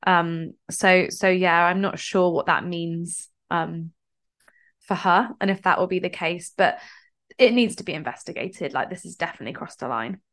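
A woman's voice: lowish voice, moderate pace, fairly bright tone. A British woman talking as a podcast guest and campaigner, informal and earnest in a conversational political discussion.

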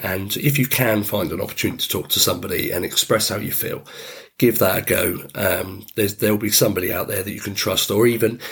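A middle-aged man hosting a podcast in a rambling, easygoing monologue, his voice deep and croaky from a chest cold. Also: fairly bright tone. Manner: easygoing, rambling, frequent "you know". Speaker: podcast host. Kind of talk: casual reflective monologue.

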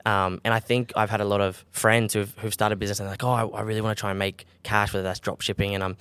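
A young man speaks quickly in a low, smooth, resonant voice. His delivery is calm and relaxed, full of fillers and self-corrections. The close mic sounds dry. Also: no echo. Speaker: guest, brand co-founder. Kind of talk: casual podcast interview.

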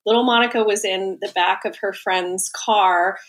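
A woman's voice: mid-range voice, fairly even intonation, normal speed, rough recording. A woman, an opera singer, chatting casually and bubbly as a guest in an interview. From United States.

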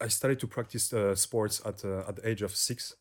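A man's voice: lowish voice, steady tone, moderate pace. A young man from the French-speaking part of Switzerland with a French accent, a guest in casual interview talk who sometimes halts to search for English words. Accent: Swiss French.

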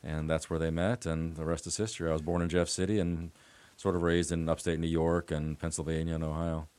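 A middle-aged American man with a very low voice, talking as a podcast guest. He tells his stories in a relaxed, rambling way with plenty of fillers. The sound is close and dry, with no room around it. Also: a little fast, clean tone, no room sound. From United States. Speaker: attorney and guest. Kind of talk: casual interview chat.

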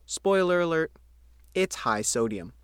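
Male American reporter for scripted news narration, highly animated intonation, slightly high voice. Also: unhurried, clear, resonant tone, no room sound, studio quality. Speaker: newspaper reporter reading own article.

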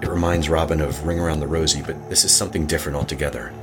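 A man narrating a scripted horror story in a measured, suspenseful manner. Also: a bass voice, steady tone, music in the background.

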